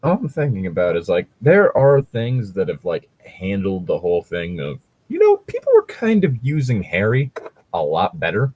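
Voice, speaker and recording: A man's voice: on the low side, huge pitch swings, normal speed, darkish tone, dry recording. A man chatting casually as a co-host, explaining things in a rambling, sweary way. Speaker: co-host reading and discussing fan fiction. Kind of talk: casual chat and commentary.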